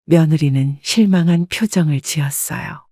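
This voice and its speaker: Calm woman narrating a story. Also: low-pitched, normal speed, no room sound, studio quality. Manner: calm, reflective. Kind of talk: first-person story narration.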